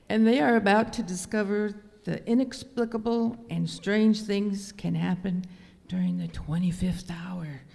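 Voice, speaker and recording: A slow-talking, ominous female horror hostess, acted by a woman, relishes every dread-filled phrase with creaky fry in her voice. It is old-time radio theatre, heard in an echoey hall with music behind. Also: mid-range voice, darkish tone. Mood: ominous glee. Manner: theatrical, slow, drops into whispers.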